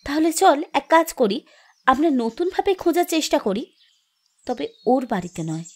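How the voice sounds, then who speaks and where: An actress playing a determined young woman investigating a village mystery in a horror audio drama, insistent and expressive. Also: high voice, moderate pace. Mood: urging. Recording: music bed behind the voice.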